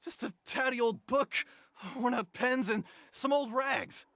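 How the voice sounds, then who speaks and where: A woman voicing a cowardly young male thief in a video game, with a creaky voice and a melodramatic, whiny delivery. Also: fairly high pitch, a little slow, a bit bright, soft background music. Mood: bitter. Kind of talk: video game character acting.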